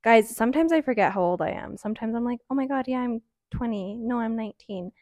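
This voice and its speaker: A woman's voice: a little high, expressive, fast talker, darkish tone, no echo, clean studio sound. A woman in her early twenties talking casually and frankly on her own, rambling with lots of "um" and "like.". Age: early 20s. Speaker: podcaster. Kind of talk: casual solo chat.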